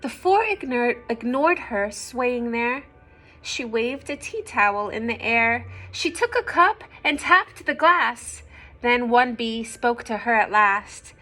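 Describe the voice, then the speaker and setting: A woman reading a children's storybook aloud expressively, pausing to explain simply and ask young listeners questions. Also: a little high, expressive, pushed and bright, poor audio.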